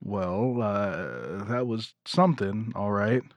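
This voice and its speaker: An actor in an audio drama playing a kind, considerate brother, tactful and earnest. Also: male voice, on the low side, expressive, slow pace, no room sound, muffled recording. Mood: earnest, supportive. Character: kind, considerate brother, newly acquainted with his sister.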